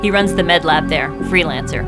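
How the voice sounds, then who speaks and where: An actress playing a capable, loyal female agent in a video game, measured and matter-of-fact. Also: on the low side, loud music behind the voice, close to an everyday voice. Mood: calm, serious. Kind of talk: video game cutscene acting.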